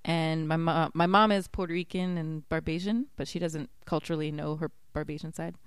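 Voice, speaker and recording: A woman's voice: low voice, fairly even intonation, fairly quick, clear, resonant tone, dry recording, studio quality. A woman from New Jersey with an American accent, chatting casually in a hesitant, giggly way. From New Jersey, United States. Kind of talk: casual chat.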